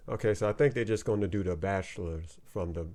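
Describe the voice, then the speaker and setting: A man's voice: low voice, fast talker, dark tone. A man giving offhand, joking reaction commentary while watching a dating show. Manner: offhand, wisecracking.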